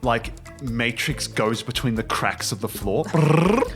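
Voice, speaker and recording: A man improvising comic narration as the game master of a tabletop game, excitable and halting, acting out little sound effects. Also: mid-range voice, soft background music.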